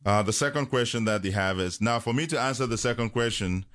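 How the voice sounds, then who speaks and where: A man from Cameroon, a parish priest chatting on a radio call-in show, talking slowly with many fillers and chuckles. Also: medium pitch, fairly bright tone, dry recording, clean studio sound. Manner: slow, hesitant, many fillers, chuckles often. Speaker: priest guest. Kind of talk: casual radio chat. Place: radio studio.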